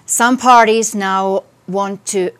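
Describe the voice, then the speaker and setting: A woman's voice: mid-range voice, steady tone, takes it slowly, fairly bright tone. A woman from Finland with a Finnish accent, speaking English as a second language in an interview, hesitant and searching for words as a parliamentary candidate. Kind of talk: interview answers.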